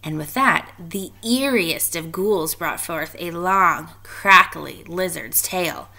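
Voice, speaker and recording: A woman reading a storybook aloud in an expressive narrating style. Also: on the low side, takes it slowly. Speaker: storybook reader.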